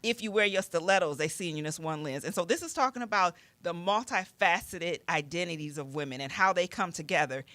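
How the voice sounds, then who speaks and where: Female radio guest, bright tone, close dry sound, casual and emphatic delivery. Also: fairly low pitch, quick pace, few pauses, no room sound. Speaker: guest and podcast host. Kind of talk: casual radio show chat.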